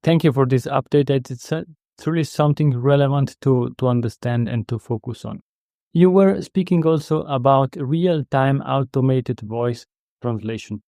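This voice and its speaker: A man who is not a native English speaker, hosting a conversational interview in a polite, halting way with repeated words. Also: medium pitch, warm tone, no echo, studio quality. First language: not English or German. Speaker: podcast host interviewing a guest.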